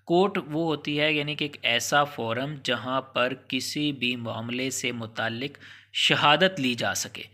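A man's voice: mid-range voice, bright, forceful tone. A man with a Pakistani accent giving an informal, explanatory law lecture, instructing step by step and often mixing in English legal terms.

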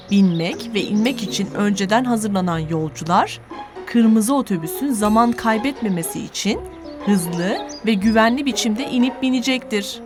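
A woman narrating an educational children's cartoon, addressing young viewers in a didactic, encouraging, clearly articulated way. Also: on the high side. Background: music.

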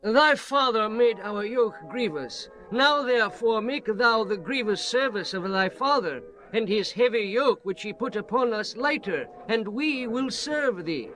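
An earnest spokesman in a scripture drama, pleading formally with a king. The voice is high and very animated over soft music. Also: measured pace, few pauses, heavily acted, rough recording. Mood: entreating. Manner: formal, entreating. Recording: music bed behind the voice.

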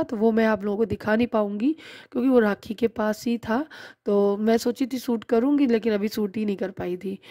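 A woman's voice: medium pitch, dark tone, no echo, studio quality. A woman in her thirties narrating her own shopping vlog in a chatty, explaining way. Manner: chatty, explains prices and bargaining. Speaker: vlogger. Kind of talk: casual vlog voice-over narration.

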